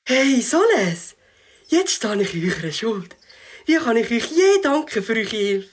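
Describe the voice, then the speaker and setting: Woman as hapless catfish, high-pitched, very animated, Swiss German accent, theatrical, children's audio play. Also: a little slow. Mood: amazed, grateful. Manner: theatrical, exclamatory. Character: hapless, dramatic, grateful catfish.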